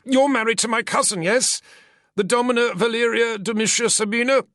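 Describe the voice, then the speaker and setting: A man's voice: steady tone, normal speed, fairly bright tone, no echo, clean studio sound. A man doing a frightened, pleading Roman senator. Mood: terrified pleading. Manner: pleading, breathless, high-strung. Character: frightened scheming older male Roman senator. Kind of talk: audiobook character voice.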